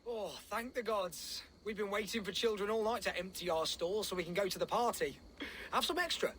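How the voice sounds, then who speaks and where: A man's voice: sits high, fairly quick, close to an everyday voice. A man voicing a relieved village cake seller. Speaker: game character. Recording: game audio with background noise.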